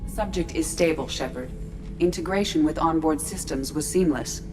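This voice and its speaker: An actress voicing a calm artificial intelligence aboard a starship in a video game, clinical, precise and formal. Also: female voice, low voice, normal speed, over music, lightly acted. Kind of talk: video game character acting. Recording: clear background noise, ship-like ambience.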